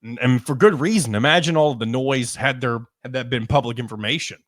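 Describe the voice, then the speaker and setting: A man's voice: medium pitch, a little fast, fairly bright tone, no room sound, studio quality. A man hosting a sports podcast, talking casually with frequent ums and restarts.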